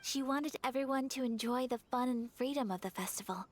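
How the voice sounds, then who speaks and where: A gentle young noblewoman. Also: high-pitched. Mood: reflective. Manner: soft-spoken, polite and measured.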